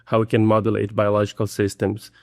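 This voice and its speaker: A man's voice: lowish voice, monotone, fast, darkish tone, dry recording, studio quality. A man from Brazil, a drug design co-founder, speaking earnestly as a podcast guest.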